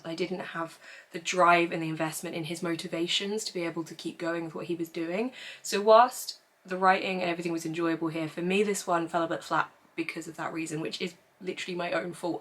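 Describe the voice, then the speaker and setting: Croaky woman, hoarse voice. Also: on the low side, fairly bright tone. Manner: chatty, self-deprecating, laughs often. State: hoarse, losing her voice. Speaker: book vlogger. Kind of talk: casual book review monologue.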